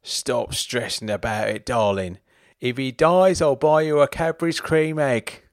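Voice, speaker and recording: A man putting on a loud, tipsy middle-aged dad who slurs and jeers, his voice lifted higher and harder than plain talk. The sound is dry and close, with no room around it. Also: steady tone, a little slow, no room sound, close to an everyday voice. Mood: mocking. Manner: loud, slurring drunk voice, mocking.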